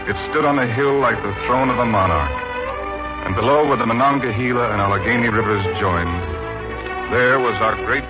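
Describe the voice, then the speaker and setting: A man acts as a dignified gentleman narrator in a radio play. His mid-pitched voice is set against loud music on a dull vintage broadcast. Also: moderate pace, lightly acted. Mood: reflective. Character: dignified mill owner's son, recalling the past. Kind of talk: 1940s radio drama narration.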